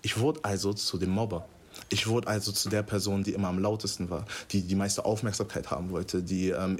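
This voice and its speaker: A young man who runs school workshops on discrimination, telling his story casually on a panel and hunting for words with many fillers. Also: lowish voice. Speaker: anti-discrimination school workshop trainer, guest. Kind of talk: personal account in a panel talk.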